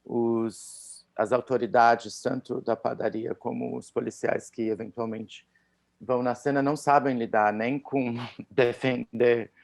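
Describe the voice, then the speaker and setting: A man's voice: mid-range voice, steady tone, a little slow, vocal fry, dry recording. A man with a Brazilian Portuguese accent chatting casually on a video call, hesitant and full of fillers. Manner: hesitant, filler-heavy, says "tipo" often. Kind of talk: casual conversation.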